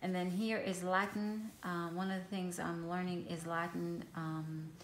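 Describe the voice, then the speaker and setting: Low-voiced woman, Hebrew native, echoey room. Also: a little slow. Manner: relaxed, rambling, frequent ums and restarts. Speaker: craft vlogger. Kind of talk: casual show-and-tell of a handmade journal. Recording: echoey room with faint hiss.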